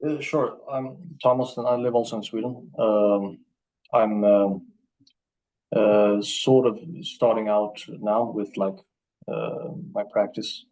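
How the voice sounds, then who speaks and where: A young man introducing himself slowly and hesitantly in a video meeting, with many fillers. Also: fairly low pitch, fairly even intonation, a little mellow, rough recording. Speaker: astrology student. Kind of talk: casual self-introduction.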